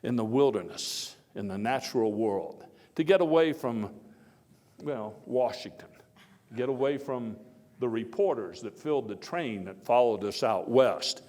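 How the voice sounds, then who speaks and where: Male reenactor as genial historical statesman, medium-pitched man's voice, folksy halting monologue, wry asides, oratorical emphasis, long hall reverb. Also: animated, a little mellow, lightly acted. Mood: reminiscing. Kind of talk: live first-person historical monologue, speech to an audience.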